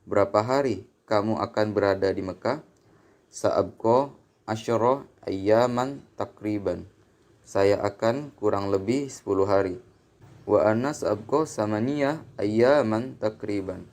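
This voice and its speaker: A man with an Indonesian accent narrating a scripted language lesson as a teacher, in a measured, didactic, phrase-by-phrase way. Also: on the low side, a little mellow. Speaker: Arabic grammar teacher. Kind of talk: scripted lesson narration with bilingual example sentences.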